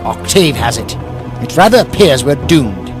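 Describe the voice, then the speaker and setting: A man's voice: medium pitch, big pitch swings, normal speed, close to an everyday voice. A man playing a brave, wry time-travelling adventurer in an audio drama, with a theatrical, wordy delivery. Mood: wry, resolute. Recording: music behind the voice.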